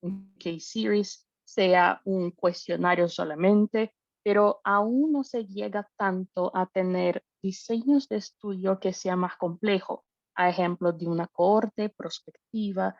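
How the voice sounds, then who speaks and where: Woman, gravelly edge, hesitant webinar panelist, muffled dry call audio. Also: lowish voice, fairly even intonation, a little slow, darkish tone, no echo. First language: not Spanish. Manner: hesitant, searching for words. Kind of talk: webinar talk. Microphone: video call.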